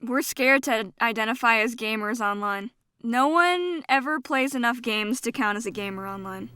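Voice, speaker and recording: Bright, sharp-voiced woman, blunt and grumbling. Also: fairly high pitch, normal speed, clean tone, no room sound, clean studio sound. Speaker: podcast co-host. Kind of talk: casual podcast chat. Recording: faint background noise.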